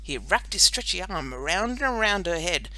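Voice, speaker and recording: Bright, animated woman storyteller. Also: on the low side, moderate pace, no echo. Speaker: audiobook narrator. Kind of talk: expressive scripted children's story narration.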